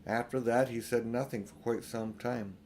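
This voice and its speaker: A man's voice: medium pitch, steady tone, moderate pace, darkish tone, a little hiss or hum. A man narrating a children's storybook aloud as an audiobook reader.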